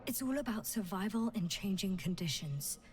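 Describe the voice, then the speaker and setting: A composed female scientist played by an actress in a science fiction game. She explains things in measured, articulate phrases at a medium pitch, patient and precise, with clear background noise. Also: expressive, darkish tone, close to an everyday voice. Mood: patient, analytical. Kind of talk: video game dialogue acting.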